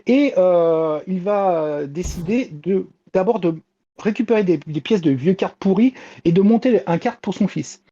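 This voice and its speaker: Female columnist, very mellow tone, enthusiastic but halting, on a muffled lo-fi link. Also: fairly low pitch, expressive. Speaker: manga columnist. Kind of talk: casual enthusiastic review.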